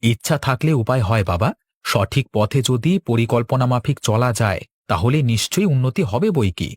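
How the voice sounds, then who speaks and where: Man as cartoon village son, young farmer, steady, earnest, slightly rough voice, dry sound. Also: mid-range voice, moderate pace, no room sound. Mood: determined. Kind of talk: cartoon dialogue.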